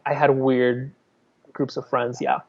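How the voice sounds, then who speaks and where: A man's voice: medium pitch, little pitch movement, slow pace, lo-fi sound. A man in his thirties, an artist from Miami, talking candidly in an interview, often restarting his sentences. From Miami, Florida. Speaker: artist, guest. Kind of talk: conversational interview.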